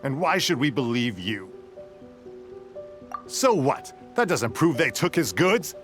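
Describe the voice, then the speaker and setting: A man's voice: mid-range voice, sing-song, slow pace, fairly bright tone. A man playing a suspicious, hard-nosed guard in a video game, dismissive and accusing. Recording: music behind the voice.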